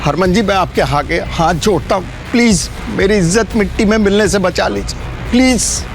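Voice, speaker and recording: A man's voice: high-pitched, moderate pace, creaky, soft background music. A man playing a middle-aged business tycoon, a pleading, hot-tempered father, in an audio drama. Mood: desperate pleading. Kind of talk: Hindi audio drama acting.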